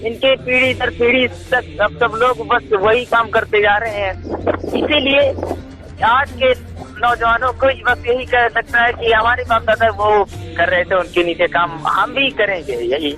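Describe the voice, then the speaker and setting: A man's voice: very high-pitched, unhurried. A man talking on a phone call as an activist, deferential but insistent, often repeating himself. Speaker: activist caller. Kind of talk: casual phone discussion. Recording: live call recording with music behind.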